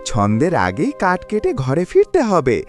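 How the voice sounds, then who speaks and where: A man's voice: fairly high pitch, huge pitch swings, moderate pace, faint music behind. A man playing a devoted, weary middle-aged woodcutter father in a cartoon, fussing and muttering to himself expressively. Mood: fond, hurried. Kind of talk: cartoon voice acting.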